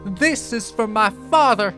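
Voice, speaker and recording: A man voicing a young girl in a dark fairy tale, earnest and cunning, speaking in careful phrases. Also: high voice, lively intonation, unhurried, pitched above the performer's own voice, heavily acted. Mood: vengeful. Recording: music behind the voice.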